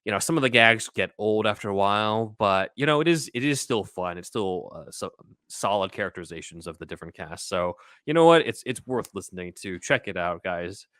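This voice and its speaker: A man's voice: fairly low pitch, lively intonation, a little fast, fairly bright tone, no room sound, clean studio sound. A man co-hosting a podcast, talking casually in an informal, conversational way. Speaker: podcast co-host. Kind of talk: casual chat.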